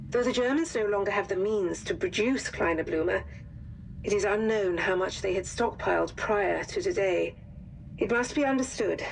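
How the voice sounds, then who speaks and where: A woman playing a composed wartime intelligence officer in a game, delivering formal, grave, measured mission briefings. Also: medium pitch, gravelly, slight background noise, natural voice, poor audio. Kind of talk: scripted dramatic briefing narration.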